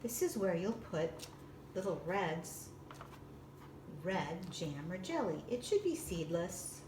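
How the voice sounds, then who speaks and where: A woman giving step-by-step instructional narration in a deliberate way, with simple explanatory phrasing. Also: fairly low pitch, animated. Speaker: librarian giving a baking demonstration. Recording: distant roomy echo with background noise.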